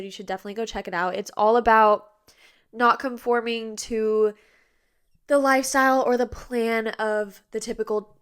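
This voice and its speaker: A woman's voice: on the high side, fairly bright tone, clear, resonant tone. A young woman in her twenties hosting a podcast from her bedroom, chatting casually, a bit nasal and congested, tired but bubbly, with lots of fillers. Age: 20s. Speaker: podcast host. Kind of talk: casual chat. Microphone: iPad on a tripod.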